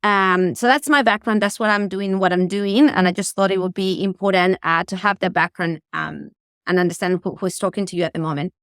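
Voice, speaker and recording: Chilean-accented woman presenter, enthusiastic webinar delivery, smooth and dry. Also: fairly low pitch, steady tone, a little fast, a bit bright, dry recording. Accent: Chilean Spanish. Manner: enthusiastic, many fillers. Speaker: tourism sustainability presenter. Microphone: video call.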